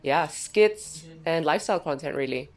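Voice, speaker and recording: Low-voiced chatty woman guest. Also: animated, normal speed. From Singapore. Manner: open and chatty, many fillers like "like". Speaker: guest, songwriter and content creator. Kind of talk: casual podcast conversation.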